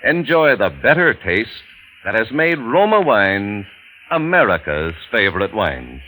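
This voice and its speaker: Male vintage radio announcer, bright and emphatic sponsor salesman, slightly noisy old recording. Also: lowish voice, very animated, unhurried. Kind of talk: 1940s radio advertising narration.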